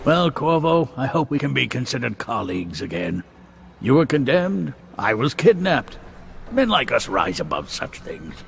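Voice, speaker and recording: A man playing a pompous, philosophical gentleman in a video game, formal and theatrical. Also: mid-range voice, very animated, moderate pace, vocal fry, slight background noise. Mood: lofty, reflective. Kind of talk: dramatic video game voice acting.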